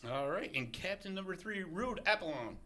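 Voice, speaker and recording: A man hosting a college podcast in a studio, energetic and jokey with emphatic delivery. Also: sits high, normal speed. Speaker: podcast host. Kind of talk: upbeat interview hosting.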